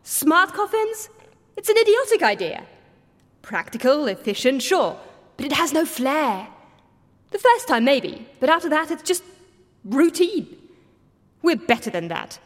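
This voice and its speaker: Haughty scheming lady, audio drama, highish voice that swoops around, echoey. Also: very animated, unhurried, pauses often. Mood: dismissive. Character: proud scheming woman who prizes flair, dismissive. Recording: reverberant enclosed space effect.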